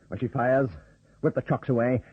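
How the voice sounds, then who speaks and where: Bossy urgent pilot in an old radio play, really rough husky voice, kinda muffled recording. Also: male voice, medium pitch, flat delivery, moderate pace, no room sound. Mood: commanding, urgent. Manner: barking, clipped orders. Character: tough, commanding male pilot, brusque and urgent. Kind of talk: radio drama acting.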